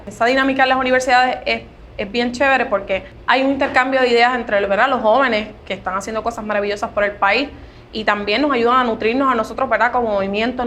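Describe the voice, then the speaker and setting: A woman's voice: on the high side, a bit bright, slight background noise. A woman with a Puerto Rican accent explaining as a foundation member in an interview, adding little tag questions.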